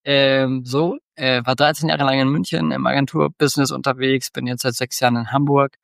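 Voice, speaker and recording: A man in his forties from northwestern Germany, a guest on a podcast, talking in a relaxed, rambling way with many fillers. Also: mid-range voice, fairly quick, gravelly, dry recording, studio quality. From northwestern Germany near the Dutch border. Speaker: podcast guest, agency founder. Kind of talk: casual interview talk.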